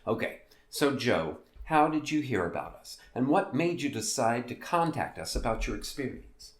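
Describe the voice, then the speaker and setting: In a roomy, echoing space, a man plays a quirky show host for an audio drama. His pitch swings freely as he wisecracks in a folksy, theatrical way. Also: expressive, normal speed, natural voice. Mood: teasing. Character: quirky, jokey male host of a weird-tales show. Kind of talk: scripted podcast drama in a radio-show format.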